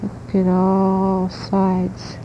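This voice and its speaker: A woman giving a spiritual lecture in deliberate, halting phrases, instructing the listener like a teacher, on a muffled old cassette recording. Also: completely flat delivery, mellow. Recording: muffled noisy old cassette tape with rumble.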